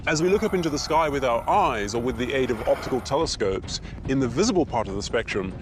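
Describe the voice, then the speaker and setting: A man speaking as an expert in a documentary interview, explaining in a measured way. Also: medium pitch, expressive, music in the background.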